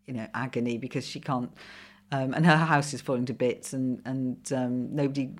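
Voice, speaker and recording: A middle-aged Welsh woman with a low voice, speaking English as her second language after Welsh. She chats as a podcast guest, pausing often with ums and restarting her sentences. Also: steady tone. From Wales. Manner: hesitant, full of ums and restarts. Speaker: guest, comedy writer and former commissioning editor. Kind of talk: casual podcast conversation.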